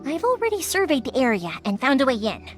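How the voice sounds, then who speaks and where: A woman's voice: high voice, big pitch swings, fast, soft background music. A bubbly fireworks girl. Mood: cheerful. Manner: bubbly, giggly, folksy phrasing. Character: cheerful young woman fireworks shop owner, playful and brave. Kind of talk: video game dub acting.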